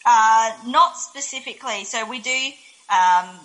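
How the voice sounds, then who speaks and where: Young woman, Australian accent, bright tone, slow speech, hesitant, many fillers, interview answers. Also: on the high side, rough recording. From South Australia. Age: young adult. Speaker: interviewee, medical scientist.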